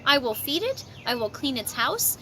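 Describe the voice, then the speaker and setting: A woman's voice: big pitch swings, normal speed, pushed and bright, exaggerated voice. A woman voicing an eager, polite young boy turtle from a storybook, earnest and childlike. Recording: noisy outdoor amateur recording, ambient rumble.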